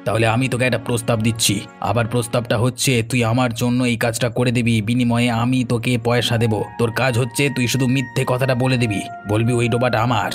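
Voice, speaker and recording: A man's voice: mid-range voice, little pitch movement, brisk, lightly acted. Cartoon village scoundrel, male actor: middle register, bossy, threatening, scheming, light music behind. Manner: commanding, menacing. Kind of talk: Bengali cartoon story dialogue.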